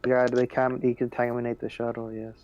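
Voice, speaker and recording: A man's voice: mid-range voice, monotone, brisk, dry recording, dull audio. A man chatting casually as a player in a tabletop roleplaying game.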